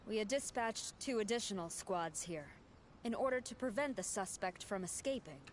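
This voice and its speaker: A woman voicing a composed, authoritative female officer, formal and measured, in an anime game. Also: medium pitch, expressive, slight background noise, lightly acted. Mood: composed, official.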